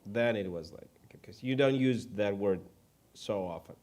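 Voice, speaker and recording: Ukrainian guy giving an informal sermon, accented, kinda low voice, halting and modest about his speaking. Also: darkish tone. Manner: halting, self-deprecating. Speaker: guest preacher.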